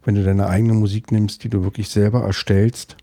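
A middle-aged man from Berlin, with a clear Berlin accent, speaks as a guest on a podcast. He talks thoughtfully, pausing and restarting as he gathers his words. His voice sits fairly low and sounds warm and mellow, and the recording is dry and close. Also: level tone, no room sound. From West Berlin, Germany. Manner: thoughtful, hesitant, with frequent fillers and restarts. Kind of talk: casual interview conversation.

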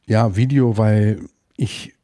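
Low, mellow Berlin-accented man. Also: steady tone, slow pace, no room sound, studio quality. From West Berlin, Germany. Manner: thoughtful, hesitant, with frequent fillers and restarts. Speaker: podcast guest. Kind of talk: casual interview conversation.

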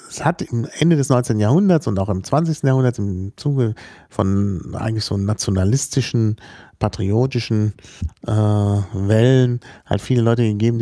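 A man from Hamburg being interviewed about languages, explaining slowly and thoughtfully, with many fillers and restarts. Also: lowish voice, creaky, dark tone, dry recording, clean studio sound. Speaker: linguist guest. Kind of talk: relaxed spoken explanation in an interview.

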